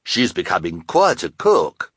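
A man voicing a mild, appreciative henchman in a storybook, speaking in a measured manner. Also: low voice, huge pitch swings, slightly rough, no echo, clean studio sound, exaggerated voice. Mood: approving. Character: mild hook-handed henchman, approving amateur food critic.

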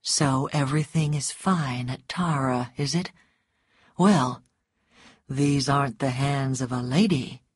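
A woman voicing a mocking, self-assured man in an audiobook story, blunt, deliberate and teasing. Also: extremely low pitch, husky, no echo, clean studio sound, full character voice. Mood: quietly serious.

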